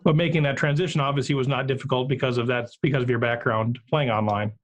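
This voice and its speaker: A man's voice: mid-range voice, fairly even intonation, fast talker. A middle-aged man hosting a podcast interview, joking and chuckling as he asks questions. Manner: jokey and chuckling. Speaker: podcast host.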